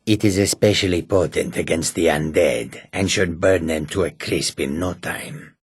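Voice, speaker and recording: Husky, low-pitched cat-man mage. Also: moderate pace, no room sound. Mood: eager, scheming. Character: proud, sly male cat-person mage, speaks in third person. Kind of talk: video game character dialogue.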